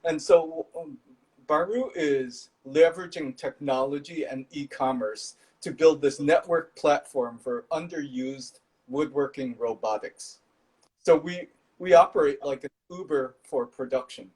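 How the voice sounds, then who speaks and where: A man from Indonesia, a startup founder, explaining his business in a measured way over a video call, with some fillers. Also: on the high side, darkish tone, low-quality recording.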